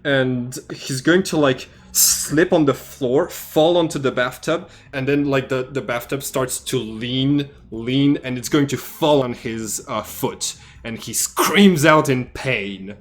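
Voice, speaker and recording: A man narrating as game master of a tabletop game, loose and relaxed, with many fillers. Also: medium pitch, slow, few pauses.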